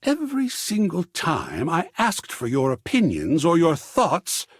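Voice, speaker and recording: A man's voice: on the high side, huge pitch swings, unhurried, breathy edge, dry recording, clean studio sound, full character voice. A male actor voicing a flamboyant, melodramatic, lovestruck living work of art in a video game, with florid, grandiose, theatrical delivery. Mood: swooning, amorous. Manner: florid, grandiose, swooning, dramatic swings between extremes.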